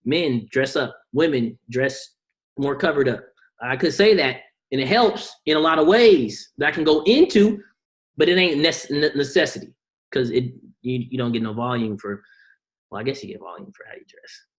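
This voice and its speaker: A man leads a sales team's video call in an average-pitched voice. He keeps it loose and slangy. He pushes his point with a string of rhetorical questions. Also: animated, moderate pace. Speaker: team call host. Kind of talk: motivational team call.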